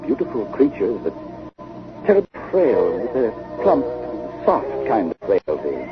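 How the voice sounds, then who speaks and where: An actor playing a curious, uneasy gentleman time traveller, telling his journey in a deliberate, dramatic vintage radio drama style. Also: lowish voice, huge pitch swings, music in the background. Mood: wondering narration. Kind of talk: 1940s-50s radio drama acting and narration. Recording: dull vintage radio recording.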